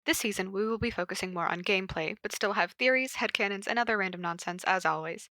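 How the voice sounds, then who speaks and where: A woman's voice: medium pitch, quick pace, sharp tone, no room sound. A woman co-hosting a podcast in casual chat, giggly and teasing, sometimes reading aloud. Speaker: podcast co-host and fan fiction reader.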